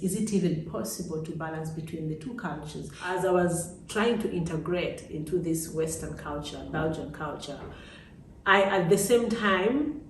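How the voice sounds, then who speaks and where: A Ugandan-accented woman speaks on the lower side, in a reflective vlog style. She addresses listeners directly and repeats words for emphasis. The room echoes clearly and she sounds some way from the microphone. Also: recorded from a distance, slight background noise. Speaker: vlogger, host. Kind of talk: personal vlog, reflective talk to viewers.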